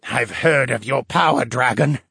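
A man's voice: medium pitch, unhurried, gravelly, bright, forceful tone, husky, no room sound, studio quality, pitched above the performer's own voice, heavily acted. A man voicing a bitter, defiant captive merman fisherman in an audiobook, scornful and muttering.

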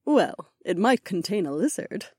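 A firm, wry young woman, as a man puts her on with a mellow, husky tone. Her voice sits well above the man's own. The sound is dry and close-miked. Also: sits high, expressive, moderate pace, no echo, voice pushed up. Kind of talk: audiobook character voice.

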